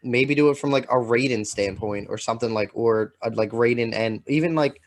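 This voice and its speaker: A man co-hosting a podcast in casual chat, talking in a halting, rambling way full of filler words. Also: medium pitch. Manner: halting, rambling delivery peppered with "like". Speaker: podcast co-host.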